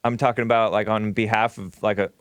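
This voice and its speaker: A man's voice: lowish voice, little pitch movement, brisk, clear, resonant tone, no room sound. A middle-aged man, co-host of a stream, talking in a casual, rambling monologue with many fillers and self-corrections.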